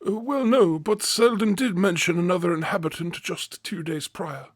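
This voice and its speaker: A deferential servant in a radio play. His voice is high for a man and sounds very dry. He explains things properly, with a touch of hesitation. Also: moderate pace, no echo. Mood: deferential, helpful. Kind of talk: radio drama acting.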